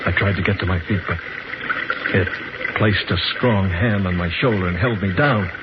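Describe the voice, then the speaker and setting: A man's voice: low-pitched, moderate pace, heavy background noise, natural voice. An actor playing a frightened, desperate man trapped in darkness, narrating his ordeal with grave intensity in 1950s radio drama style. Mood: grim, subdued. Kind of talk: 1950s radio drama acting, suspenseful first-person narration. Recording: dull vintage 1950s radio recording, loud music.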